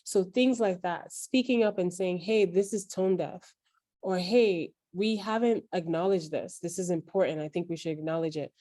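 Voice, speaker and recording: A woman with a Nigerian accent blended with New York speech talks in a low, mellow voice. She gives advice earnestly and directly. The recording is dry and close. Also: moderate pace, no room sound. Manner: earnest, advising, speaks up plainly. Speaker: guest. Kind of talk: interview conversation.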